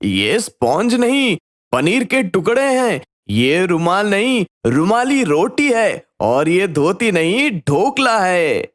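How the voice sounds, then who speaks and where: Melodramatic sneaky cartoon thief acted by a man, slow-spoken. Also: high voice, big pitch swings, full character voice. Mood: self-persuading. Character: sneaky male thief in an animated story.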